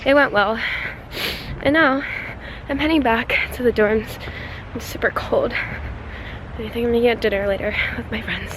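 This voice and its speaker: A woman's voice: a little high, moderate pace, pauses often. A woman vlogging as an exchange student, chatting casually to her handheld camera, rambling and laughing often, with a stuffy, congested nose. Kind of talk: casual vlog chat to camera. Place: dorm room, then outdoors on campus. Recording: noisy background.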